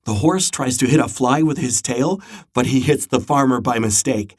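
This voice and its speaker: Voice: mid-range voice, normal speed, darkish tone, close to an everyday voice. A narrator voicing eager, outspoken young schoolgirls in a children's storybook.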